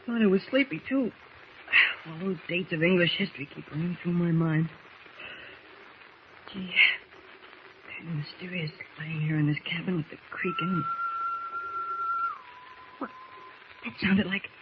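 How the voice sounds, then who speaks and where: A muffled, noisy old radio recording. an earnest teenage boy with a high voice. He talks in wholesome exclamations like a plucky young adventurer of a radio serial. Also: lively intonation, a little slow, pauses often. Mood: anxious, plucky. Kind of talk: 1940s radio drama acting.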